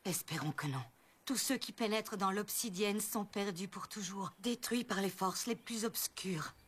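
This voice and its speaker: A woman's voice: mid-range voice, normal speed, a little hiss or hum, close to an everyday voice. A woman playing a grave, commanding fairy headmistress in a cartoon. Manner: solemn, commanding. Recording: music behind.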